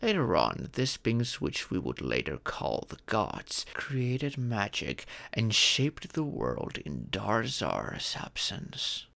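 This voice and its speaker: Man playing a slow, expressive fatherly dragon mentor. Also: lowish voice, gravelly, exaggerated voice. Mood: warm storytelling. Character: fatherly male dragon mentor, patient, fond storyteller. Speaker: storyteller and magic teacher. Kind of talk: ASMR audio roleplay, scripted fantasy storytelling.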